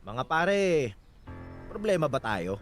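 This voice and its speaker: An actor playing a confident, protective newlywed husband in a radio drama, soothing yet insistent. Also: male voice, fairly high pitch, sing-song, takes it slowly, soft background music. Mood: placating.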